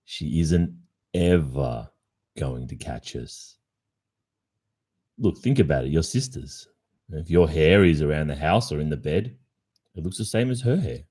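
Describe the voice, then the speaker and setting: A man's voice: extremely low pitch, lively intonation, measured pace, pauses often, dark tone, slightly rough, exaggerated voice. A man with an Australian accent acting a cheating, casually evasive young boyfriend in an ASMR roleplay, speaking conversationally with hesitations. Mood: casual, reassuring. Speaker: roleplay performer.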